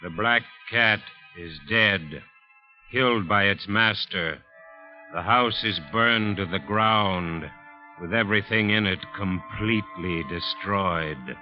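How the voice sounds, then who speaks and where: A man narrating a 1940s radio drama in a measured, solemn announcer's style. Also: on the low side. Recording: dull old radio broadcast with music. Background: music.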